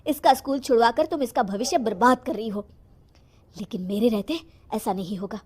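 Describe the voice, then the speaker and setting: A woman's voice: a little high, moderate pace, darkish tone, slightly rough. A woman voicing a firm, protective father in a moral story, speaking earnestly and emphatically. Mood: indignant. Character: firm, protective father standing up for his daughter.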